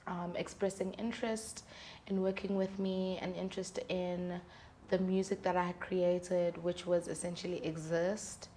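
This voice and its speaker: A woman's voice: lowish voice, level tone, measured pace, mellow, slight background noise. A woman, speaking as a musician guest in candid, conversational interview storytelling. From South Africa.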